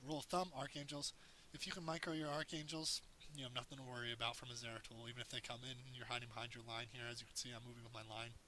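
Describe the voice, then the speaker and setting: A man with a hoarse voice narrates his own strategy game match, walking through tips in a loose, rambling way. His tone is bright and forward, with a light hiss under the recording. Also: mid-range voice, little pitch movement, very fast, low-quality recording. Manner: explains as he plays, loose and rambling. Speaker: gameplay commentator. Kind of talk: casual game tutorial commentary.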